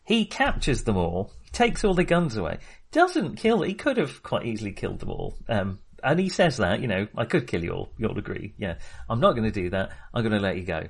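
A man's voice: lowish voice, very animated, fairly quick, vocal fry, slightly rough. Man chatting analytically, thinking aloud. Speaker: film podcast co-host. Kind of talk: casual analytical film discussion.

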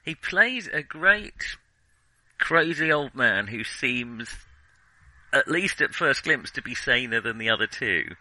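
A man's voice: mid-range voice, animated, slow pace, pushed and bright, dry recording, rough recording. A man co-hosting a film podcast in casual discussion, meandering and correcting himself as he feels his way through his thoughts. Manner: hesitant, meandering, self-correcting.

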